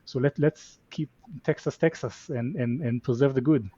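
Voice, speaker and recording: A man's voice: medium pitch, moderate pace, warm tone, breathy edge, no room sound, lo-fi sound. A man with an Israeli accent, a guest in a casual interview who talks reflectively and often repeats his words. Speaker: venture capital guest.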